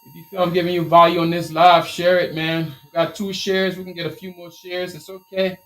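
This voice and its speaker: A man from Liberia with a Liberian accent, hosting a casual live stream Q&A on his t-shirt business and repeating his points in an energetic, motivational way. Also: high-pitched, brisk, poor audio.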